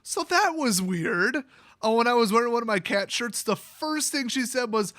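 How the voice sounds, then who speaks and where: An American man telling personal stories in a casual, rambling vlog style, animated and prone to exaggeration. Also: very high voice, moderate pace, no echo, studio quality. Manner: animated, exaggerating, quotes people in acted-out voices. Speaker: YouTube vlogger.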